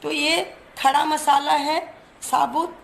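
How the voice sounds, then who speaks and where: Hoarse high-voiced woman cooking at home. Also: little pitch movement, takes it slowly. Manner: chatty, explains each step while showing ingredients. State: hoarse from a cold. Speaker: cooking channel host.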